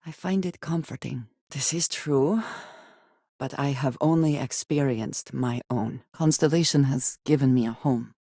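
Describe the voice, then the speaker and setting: Guarded space companion, female, low-key creaky edge, formal measured phrasing, earnest, dry close recording, video game dialogue. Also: warm tone, dry recording, full character voice. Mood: composed, observant. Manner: formal, measured wording without contractions.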